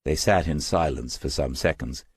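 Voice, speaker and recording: Very deep-voiced man narrating crime fiction. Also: moderate pace, breathy edge, dry recording, clean studio sound. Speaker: audiobook narrator.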